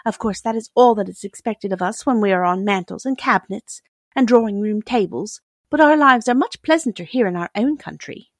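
A woman's voice: medium pitch, animated, a little fast, no echo, studio quality, voice pushed up. A woman voicing a fragile young china doll princess with a small, prim, proper voice in a storybook reading. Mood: gentle, earnest. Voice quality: small, frightened little voice.

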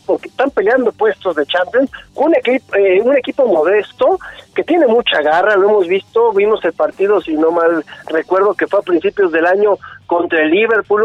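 Mexican male sports commentator, 40s, high voice, chatty, slightly muffled radio audio. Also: no echo. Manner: chatty, rambling with restarts. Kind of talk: casual radio sports chat.

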